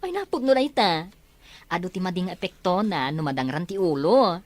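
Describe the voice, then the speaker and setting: A woman's voice: fairly low pitch, expressive, fairly quick, dry recording, lightly acted. An actress playing an earnest, patient woman in a radio-style family drama. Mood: explaining.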